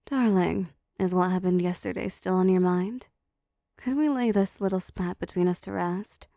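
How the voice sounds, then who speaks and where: A woman playing a seductive, possessive female demon in an intimate roleplay audio drama, talking slowly and teasingly to the listener with condescending endearments. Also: on the low side, fairly even intonation, vocal fry, dark tone, no echo, clean studio sound. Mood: coaxing, menacing.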